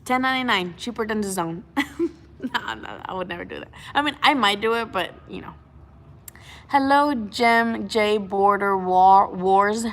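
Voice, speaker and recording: A woman's voice: mid-range voice, a little slow. Chatty young woman. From Mexico. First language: Spanish. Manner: chatty, frank, swears freely, many restarts. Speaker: boxing channel host. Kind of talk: casual livestream chat.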